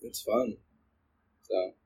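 A man's voice: very slow, dark tone. A young man co-hosting a podcast in casual chat, playful and laughing often. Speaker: podcast co-host. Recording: poor audio quality.

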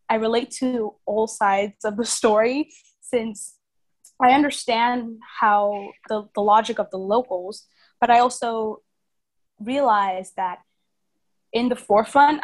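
A woman's voice: mid-range voice, rough recording. A young woman from Vietnam speaks casually as a podcast guest, thinking aloud, rephrasing herself and often saying "like". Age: young adult. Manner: reflective, self-correcting, frequent "like". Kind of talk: casual interview conversation.

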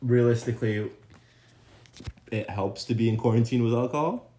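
A man's voice: medium pitch, normal speed, dark tone. Rambling male podcast co-host. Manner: rambling, hesitant with many restarts. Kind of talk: casual chat.

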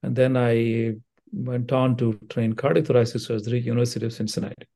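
Older Indian-accented man, gravelly and mellow. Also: medium pitch, fairly even intonation. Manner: measured, with frequent uh fillers and restarts. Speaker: cardiothoracic surgeon, guest. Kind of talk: interview answers, explanatory.

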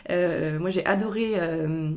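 A woman's voice: lowish voice, takes it slowly. A woman from Mayenne in France with a French accent, hosting a casual conversational interview in an informal, searching way. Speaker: podcast interviewer, host.

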